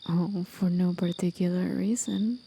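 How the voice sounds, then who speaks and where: Woman as a slow, whispering, gentle forest demon. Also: lowish voice, fairly even intonation, a little hiss or hum. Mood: soft, coy. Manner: slow, soothing, breathy half-whisper. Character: gentle, aged, mysterious female forest demon with tail. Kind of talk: ASMR roleplay, whispered and intimate.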